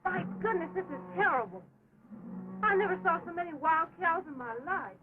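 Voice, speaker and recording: A woman's voice: extremely high pitch, lively intonation, normal speed, music in the background. A woman playing a prim, flustered lady traveler from the East in an early talkie Western, speaking indignantly. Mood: flustered indignation. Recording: tinny 1929 film soundtrack.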